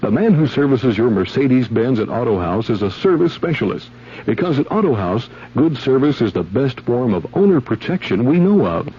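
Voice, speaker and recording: A man's voice: mid-range voice, big pitch swings, moderate pace, mellow, slight background noise. An American man reading a scripted 1970s radio commercial as the announcer. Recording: dull old radio recording.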